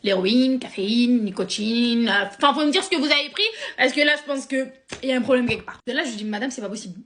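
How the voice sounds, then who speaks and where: A young woman from France telling a sarcastic, animated story straight to her viewers. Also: fairly high pitch, fast talker, slight background noise. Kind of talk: casual storytime monologue.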